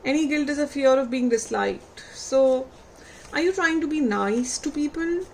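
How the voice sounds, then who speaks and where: A woman reading tarot cards for her viewers, unhurried and reflective, putting gentle questions to them and losing her voice. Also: high voice, animated, slight background noise. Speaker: tarot reader.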